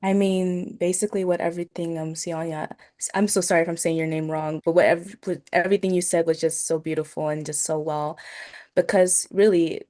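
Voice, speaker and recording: A woman's voice: on the low side, flat delivery, a little fast, mellow. A young woman from Nigeria giving partly prepared remarks as a youth panelist.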